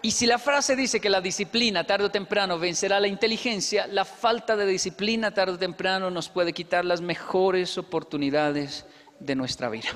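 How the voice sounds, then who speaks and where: A Colombian man in his thirties giving a lively stage talk into a handheld microphone, telling stories and acting out the dialogue. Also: sits high. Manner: lively, acts out dialogue with quick asides. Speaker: motivational speaker. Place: large indoor stage hall. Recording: long hall reverb.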